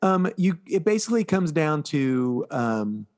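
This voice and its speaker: A man co-hosting a podcast, chatting casually about movies in a halting, storytelling way and often quoting dialogue. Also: fairly high pitch, very animated, darkish tone. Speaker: podcast co-host.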